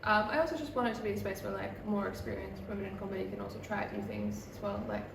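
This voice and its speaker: A young woman in her twenties talks about her work as a comedian in a relaxed, rambling way, full of fillers. Her voice sits in a mid range and comes from some distance away in an echoey room. Steady background noise runs under the whole recording. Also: medium pitch, fast talker, vocal fry. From Australia. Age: 20s. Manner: relaxed, chatty, with many fillers like "um" and "like". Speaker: comedian and comedy night producer. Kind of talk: casual interview answers. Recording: echoey room, mic far off, noisy background.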